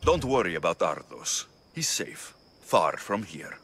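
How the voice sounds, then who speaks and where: An actor playing a tough, sardonic, protective mercenary of ancient Greece in a video game cutscene, blunt and commanding. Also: male voice, low voice, sing-song, unhurried, vocal fry, a bit bright, a little hiss or hum, exaggerated voice. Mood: cool, dismissive. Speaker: mercenary hero.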